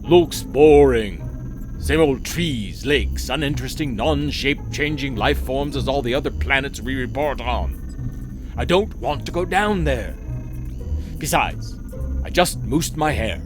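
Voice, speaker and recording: An actor playing a vain, bored alien starship captain in a comedic audio drama, with a pompous, languid, fussy delivery. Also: male voice, mid-range voice, animated, unhurried, over music, lightly acted. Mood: bored, disdainful. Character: vain, bored male alien spaceship captain obsessed with grooming.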